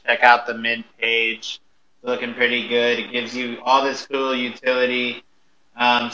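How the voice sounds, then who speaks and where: A man's voice: medium pitch, pushed and bright, a little hiss or hum, rough recording. A man hosting a casual panel talk, informal and enthusiastic, with slangy promotional patter. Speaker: host of an audio panel, music performer.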